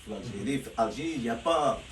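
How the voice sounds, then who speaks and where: A man's voice: mid-range voice, lively intonation, racing. A middle-aged Algerian man with an Algerian accent, a football coach talking casually and rambling with many restarts. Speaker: football coach, interview guest. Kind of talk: casual interview, mixing Arabic and French. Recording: echoey, distant, noisy ambience.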